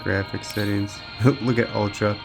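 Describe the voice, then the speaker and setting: A man's voice: lowish voice, level tone, unhurried, gravelly, over music, low-quality recording. A man giving casual, unscripted gaming commentary as a channel host, thinking aloud in a laid-back way.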